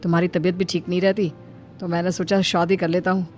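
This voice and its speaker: A woman voicing a dutiful, earnest son of thirty in an animated moral story. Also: on the low side, fast talker, close to an everyday voice. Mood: earnest, explaining. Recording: music behind the voice. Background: background music.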